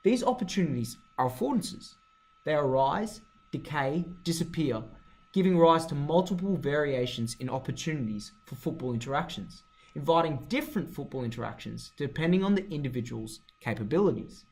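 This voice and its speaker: Explainer narrator: man, slightly high pitch, animated melody, formal scripted teaching read. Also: brisk, rough recording. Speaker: narrator of an explainer video on football coaching.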